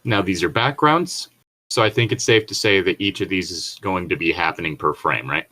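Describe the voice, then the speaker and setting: A man with a fairly low voice talks as a podcast co-host. He mixes reading aloud with joking, nitpicking commentary. His delivery is casual and rambles as he thinks out loud. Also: normal speed.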